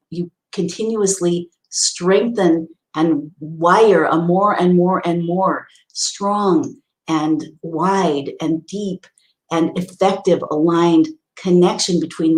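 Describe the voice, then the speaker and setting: Low-voiced woman teaching in an echoey room. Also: a little mellow. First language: English. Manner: earnest, explanatory, with many restarts and Hebrew readings. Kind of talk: spiritual teaching talk.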